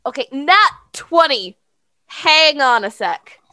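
A woman's voice: high voice, expressive, takes it slowly, pushed and bright, slight background noise. A woman chatting casually as a player in a tabletop role-playing game.

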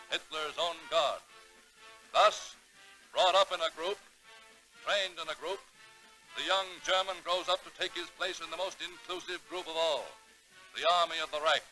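A man's voice: on the high side, pushed and bright, rough recording. An American man narrating a 1930s newsreel in an emphatic, clipped, declamatory manner. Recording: vintage newsreel soundtrack with music.

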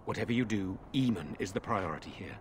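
An actor playing a dutiful, resolute nobleman in a video game, earnest, formal and measured. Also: lowish voice, lively intonation, a little hiss or hum, natural voice. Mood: grave, urgent. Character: resolute, dutiful nobleman, self-sacrificing brother. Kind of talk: dramatic video game dialogue.

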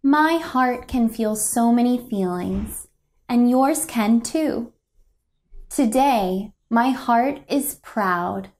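A young woman reads a children's storybook aloud at a very slow, deliberate pace. Her voice sits a little high, and she delivers the lines gently and expressively, as for small listeners. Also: expressive. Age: young adult. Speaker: picture book reader. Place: indoors, a home room.

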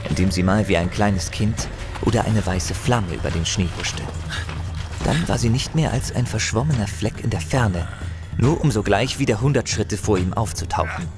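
A man's voice: fairly low pitch, natural voice. A man narrating a fantasy audio drama as a grave, intense storyteller, with music and effects behind him.

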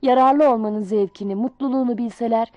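A woman reading a children's fable aloud in an expressive, sing-song storybook manner. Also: on the high side, a little fast, no room sound, muffled recording.

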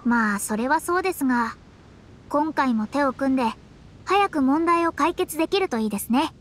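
A woman voicing a proud, composed young woman astrologer in a game, speaking politely and formally with quiet self-assurance. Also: high-pitched, animated, moderate pace, a little hiss or hum. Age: young adult. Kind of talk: game voice acting.

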